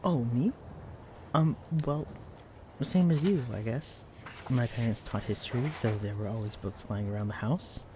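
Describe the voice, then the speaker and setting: A young man playing a shy, clumsy, bookish librarian in an intimate audio roleplay, speaking in a hesitant, stammering, hushed way. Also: medium pitch, normal speed, a little hiss or hum, lightly acted. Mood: shy, warm. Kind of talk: intimate audio roleplay acting addressed to the listener. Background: quiet library ambience.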